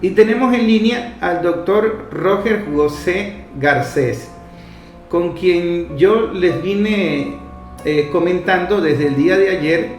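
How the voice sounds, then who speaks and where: A man's voice: fairly high pitch, darkish tone. A Venezuelan man hosting a radio interview, speaking in long, discursive sentences. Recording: roomy echo, faint music behind.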